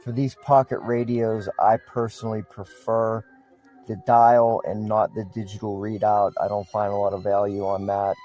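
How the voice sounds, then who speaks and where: A man's voice: lowish voice, flat delivery, moderate pace, warm tone, muffled recording, soft background music. A man giving a casual, unscripted product review in a matter-of-fact, plain-spoken way.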